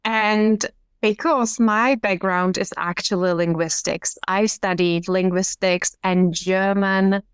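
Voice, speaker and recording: Woman, smooth clear voice, slow pace, dry close sound. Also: medium pitch, steady tone, no echo. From Czech Republic. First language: Czech. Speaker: podcast guest, hypnotherapist. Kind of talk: conversational storytelling.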